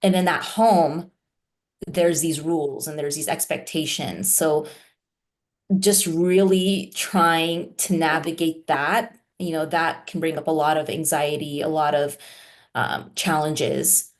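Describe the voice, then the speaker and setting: A woman's voice: deep voice, steady tone, takes it slowly. A woman therapist raised in the United States, talking reflectively in an interview, with many "you know" and "right?" fillers. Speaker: therapist guest.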